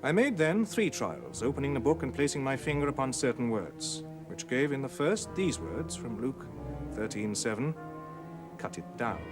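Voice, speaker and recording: Solemn country parson, read by a male narrator, formal and slow, expressive pitch, storybook horror with music. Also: close to an everyday voice. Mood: grave, uneasy. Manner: grave, formal, slow and deliberate. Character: solemn eighteenth-century English parson reading his private notes.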